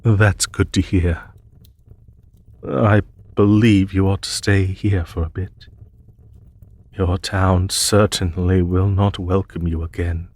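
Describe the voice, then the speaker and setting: Male actor as demon emperor, low-pitched, unhurried, husky, courteous, formal, war-weary, intimate fantasy audio roleplay. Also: steady tone, no echo, full character voice. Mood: gentle, grateful. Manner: formal, measured, gently spoken.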